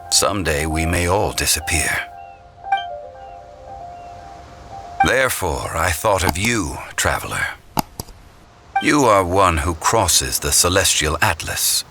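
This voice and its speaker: An ancient male deity living in human guise in a fantasy video game. His voice is deep, his phrasing formal and deliberate. He carries himself with calm dignity and quiet reflection, with music clearly playing behind him. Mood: solemn, reflective. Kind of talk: scripted video game dialogue.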